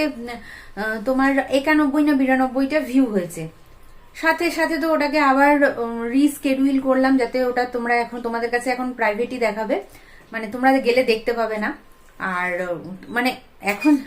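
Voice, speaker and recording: A woman vlogger speaks at a quick clip. She chats casually and directly to her audience, as in a home diary. Some ambient noise sits behind her voice. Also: a little high. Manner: chatty, addresses viewers directly. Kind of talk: casual home vlog, chatting to viewers.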